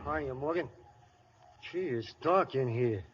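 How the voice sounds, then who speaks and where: A man's voice: mid-range voice, takes it slowly, music in the background, lightly acted. An actor playing a cocky, threatening small-time American gangster with slangy wise-guy talk in an old radio play. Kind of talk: 1930s-40s radio gangster acting. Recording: muffled, hissy old radio broadcast.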